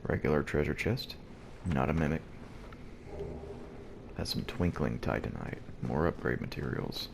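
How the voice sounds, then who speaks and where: Male let's play host: very deep, fry-heavy voice, kept quiet and hushed; noisy recording. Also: a little slow, long pauses. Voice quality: deep and low. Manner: quiet, hushed so as not to wake the house. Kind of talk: gameplay commentary. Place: at home early in the morning. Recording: noisy background hiss.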